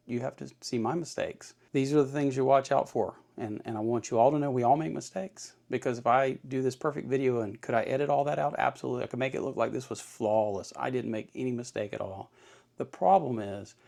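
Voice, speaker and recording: A man with a mid-pitched voice and plenty of gravelly fry hosts an informal how-to. He talks in a folksy, plain-spoken way, reassuring the learner and going back over his points. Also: a little fast, darkish tone. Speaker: host of an electronics kit-building tutorial. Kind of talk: informal hands-on tutorial talk.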